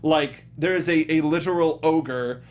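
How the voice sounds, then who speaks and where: A man with a fairly high voice hosts a casual film discussion. He recounts things like an excited storyteller, slipping into little acted reactions as he goes. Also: a little slow. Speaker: podcast host. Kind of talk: casual chat recapping a film.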